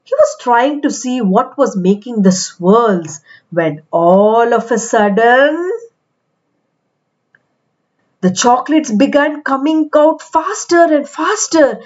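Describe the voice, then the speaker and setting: Lady reading a kids storybook, slow and super expressive, kinda high voice, bit muffled lo-fi sound. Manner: expressive, deliberate storytelling with dramatic questions. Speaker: children's read-aloud storyteller. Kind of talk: scripted picture-book narration for young children.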